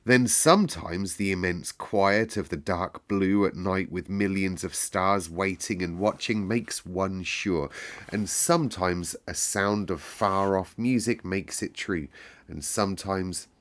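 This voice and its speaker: A man with a fairly low voice reads a classic children's story aloud. He tells it expressively, as a storyteller would for young listeners. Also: slow pace, fairly bright tone. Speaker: reader of a children's novel.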